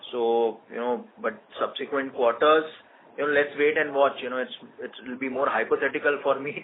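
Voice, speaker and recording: A man with an Indian accent answering as a company executive on an earnings call, hesitant and often saying "you know". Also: medium pitch. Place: conference phone call. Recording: muffled phone line with clear noise.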